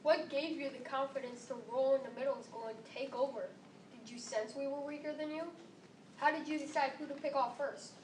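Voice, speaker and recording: A seventh-grade girl recites a scripted monologue in a high voice. She sounds far from the mic in an echoey room. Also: steady tone, a little fast. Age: about 12 to 13, seventh grader. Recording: echoey room, distant mic, faint noise.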